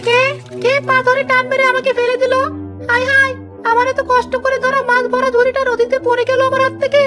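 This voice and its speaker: A performer voicing a hapless, easily frightened villager in an animated children's story. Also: extremely high pitch, fairly quick. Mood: terrified. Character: hapless villager carrying fish, easily terrified. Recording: music behind the voice.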